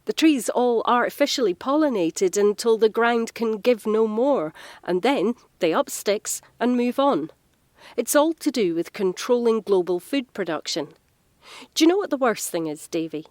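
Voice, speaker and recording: A woman's voice: a little high, darkish tone, dry recording. An actress playing a frank, caring working woman, explaining things earnestly in a naturalistic audio drama.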